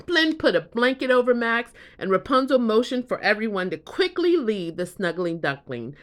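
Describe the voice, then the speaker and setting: Animated, high-voiced woman reading a bedtime story. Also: normal speed.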